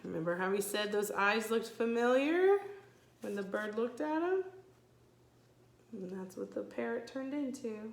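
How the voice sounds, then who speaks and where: A woman teacher reading a children's story aloud expressively, pausing to explain things to young listeners. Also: fairly high pitch, animated, vocal fry. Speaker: first grade teacher. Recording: echoey room.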